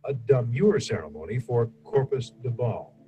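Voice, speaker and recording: A man reading a list aloud in a measured way. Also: mid-range voice, expressive, noisy background.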